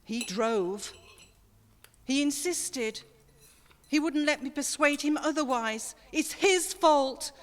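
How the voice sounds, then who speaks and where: A woman's voice: high voice, slow pace. A woman playing a grieving, resentful mother sliding into madness, with brooding bitterness, in a horror audio drama. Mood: accusing, anguished. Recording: long reverb, faint noise.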